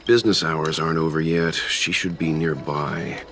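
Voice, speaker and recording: A man playing a calm, logical young companion in a video game, with an understated, deadpan and matter-of-fact delivery. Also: rumbling low, normal speed.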